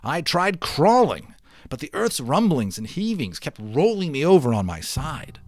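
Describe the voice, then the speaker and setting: A man narrating a children's novel in a dramatic, emphatic read-aloud style, sometimes dropping softer to explain things to listeners. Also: fairly high pitch, a little slow, no echo. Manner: expressive, emphatic, with hushed drops.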